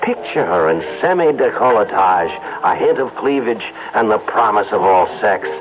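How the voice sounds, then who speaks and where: A man's voice: fairly low pitch, animated, normal speed, natural voice. Guy playing gushy american ad exec in old radio play, voice jumps all over, muffled with music behind. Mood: rapturous. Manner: effusive, given to dreamy rhapsodies. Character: smitten, excitable advertising idea man, a weary commuter. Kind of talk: old-time radio drama acting.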